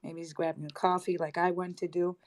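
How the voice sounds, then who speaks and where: A bubbly woman hosts a live online audio chat, her voice sitting a little low for a woman. She talks in a loose, chatty stream, laughing easily and trailing off before she finishes a thought. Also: level tone, a little fast, a little mellow. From Netherlands. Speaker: host of a live crypto audio space.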